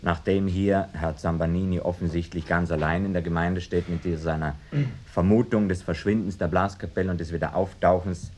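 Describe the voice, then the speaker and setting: Actor as low-voiced, pedantic professor. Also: male voice, fairly even intonation, darkish tone, slight background noise, lightly acted. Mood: clinical. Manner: hesitant, deliberate, academic hedging. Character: pedantic male university professor, parapsychology expert witness. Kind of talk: mock documentary radio drama, expert statement.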